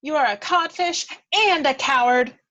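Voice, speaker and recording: A feisty little fairy, played by a woman with a high, lifted voice. She is bold and theatrical, leaning hard on her words as she scolds and goads in a dramatic read-through. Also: unhurried, a bit bright, highs cut off. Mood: scolding, indignant. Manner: emphatic, goading, theatrical.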